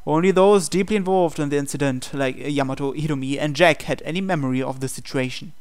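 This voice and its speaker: A man's voice: fairly high pitch, fast, smooth. A man reading visual novel narration aloud as host of a game playthrough. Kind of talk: scripted narration read aloud.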